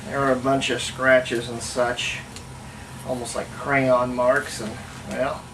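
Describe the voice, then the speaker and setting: A hobbyist restorer giving a casual hands-on workshop demonstration, thinking aloud in a halting way as they work. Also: mid-range voice, flat delivery. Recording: noisy room recording with ambient sound.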